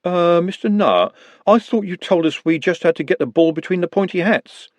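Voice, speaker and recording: A man's voice: sits high, moderate pace, darkish tone, no echo, pitched above the performer's own voice, lightly acted. A man doing a hesitant, nervous wizard, Rincewind.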